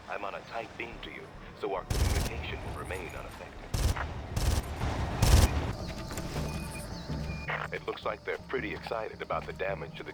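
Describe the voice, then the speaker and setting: An actor playing a calm, secretive undercover contact who gives businesslike mission instructions in a video game. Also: male voice, low voice, very noisy, lightly acted. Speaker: mission guide. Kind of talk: video game cutscene acting.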